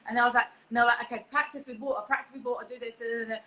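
Bright, chatty woman. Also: a little high, steady tone, rapid-fire. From England. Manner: chatty and laughing, with many restarts. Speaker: guest performer. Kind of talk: casual interview chat.

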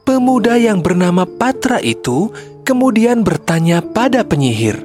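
A woman's voice: medium pitch, sing-song, normal speed. A woman narrating a scripted children's story as a storyteller. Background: faint music.

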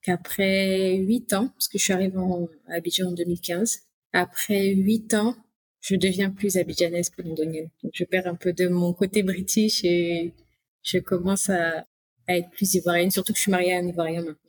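A woman in her late thirties talks as a podcast guest, her voice slightly low and a little husky. She chats freely and rambles, circling back with restarts and small fillers as she goes. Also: flat delivery, moderate pace, a little mellow. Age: late 30s. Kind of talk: casual interview conversation.

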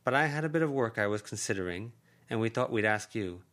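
A man voicing a practical mother who speaks in a measured, matter-of-fact way. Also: lowish voice, lively intonation, fairly bright tone.

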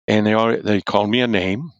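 An older man, a former football coach, telling a story loudly and animatedly as an interview guest and chuckling as he goes. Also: fairly low pitch, steady tone, a little fast, no room sound. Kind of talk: storytelling in a podcast-style interview. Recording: dull, dry lo-fi audio.

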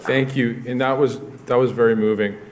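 A man's voice: medium pitch, fairly even intonation, moderate pace, over a noisy crowd, heavy background noise. A man hosting a speaker event, making plain closing announcements with frequent hesitations. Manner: plain, with frequent uh fillers and restarts. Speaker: host of a speaker luncheon.

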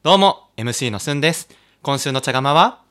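A man co-hosting a casual two-person podcast, chatty and quick to giggle. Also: mid-range voice, lively intonation, a bit bright, smooth. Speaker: podcast co-host. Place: in a co-host's home.

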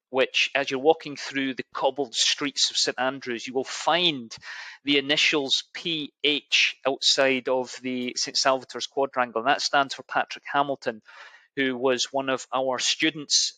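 Bright-voiced Scottish man, dry close sound. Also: medium pitch, no echo, poor audio. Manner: enthusiastic, hesitant with many restarts. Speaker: university admissions officer. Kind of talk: podcast interview answers.

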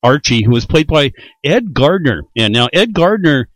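A man hosting a podcast, informally introducing classic radio shows in a halting, explanatory way. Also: on the high side, sing-song, no echo. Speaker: podcast host.

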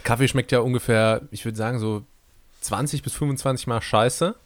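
A young man co-hosting a casual comedy podcast, joking in a dry, deadpan way with playful asides. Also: medium pitch, normal speed, dry recording. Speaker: podcast co-host. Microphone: remote call recording.

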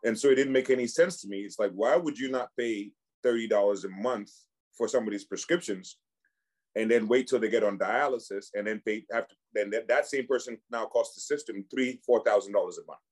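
A man in his forties from Cameroon, a physician, speaks with a somewhat low voice. He talks in an easygoing podcast manner, often stopping to restart a phrase or repeat a word before he finds it. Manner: halting, many restarts and repeated words. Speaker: physician and clinic founder, guest. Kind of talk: casual podcast conversation.

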